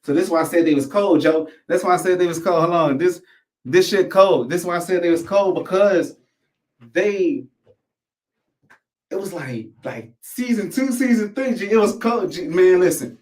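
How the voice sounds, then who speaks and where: An echoey recording, with the mic some way off, of an American man with a fairly high voice. He talks casually and loosely, in profane slang. He often circles back to repeat a phrase for emphasis. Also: animated, moderate pace. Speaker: host of a commentary show. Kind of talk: casual rambling commentary to camera. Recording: echoey room, distant microphone.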